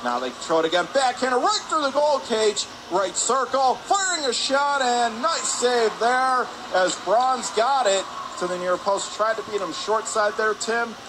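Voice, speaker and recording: High-pitched male hockey play-by-play announcer, noisy rink. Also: unhurried, few pauses. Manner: excitable, voice rising on shots and saves. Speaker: radio play-by-play commentator. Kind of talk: live hockey play-by-play commentary. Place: indoor ice hockey arena. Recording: noisy rink ambience behind the voice.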